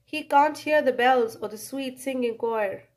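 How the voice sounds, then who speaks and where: A woman narrates a picture book for children. Her voice is very high, and she reads slowly, with deliberate dramatic expression. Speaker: children's storybook reader.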